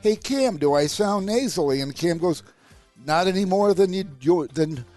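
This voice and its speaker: A man's voice: high voice, lively intonation, normal speed, a little mellow, faint music behind. A man hosting a motivational podcast, sounding nasal from a stuffed-up sinus, talking in an emphatic, rallying way. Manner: emphatic, repetitive, rallying delivery. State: sinus congestion. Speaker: podcast host and motivational speaker.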